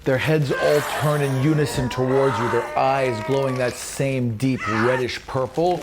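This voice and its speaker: A man narrating a horror scene for a tabletop game in a dramatic, deliberate way. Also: medium pitch, fairly even intonation. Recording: clear background ambience noise.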